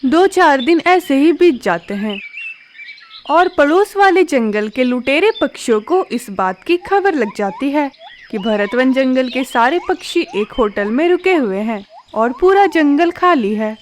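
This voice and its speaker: A woman narrating a cartoon story in a steady, clear storytelling manner. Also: sits high, unhurried, slight background noise.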